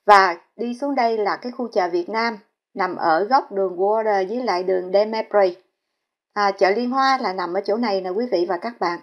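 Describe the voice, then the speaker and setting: A woman's voice: mid-range voice, normal speed, highs cut off. A Vietnamese woman vlogging casually, speaking politely to viewers with many fillers as she tours a house listing and shows a map. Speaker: real estate vlogger. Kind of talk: casual narrated house tour.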